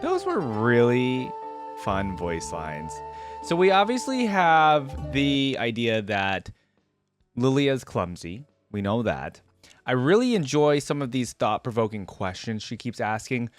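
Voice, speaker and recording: A woman's voice: rumbling low, sing-song, takes it slowly, no room sound, soft background music. A woman giving casual, musing reaction commentary as the host of a video.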